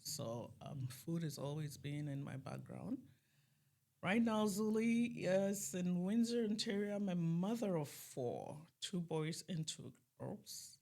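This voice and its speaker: A middle-aged woman from Ghana, a chef and food business owner, talking casually as an interview guest, animated and laughing at times. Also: on the low side, takes it slowly. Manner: animated, laughing, lively bursts.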